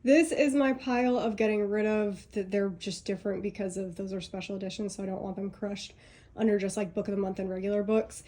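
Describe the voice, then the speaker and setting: A woman's voice: mid-range voice, steady tone, fairly quick, dark tone. A woman in her thirties with a congested voice, chatting casually and rambling to the camera at home. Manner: chatty, rambling, laughs often. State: congested, feeling sick. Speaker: booktuber. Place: home, sorting bookshelves indoors.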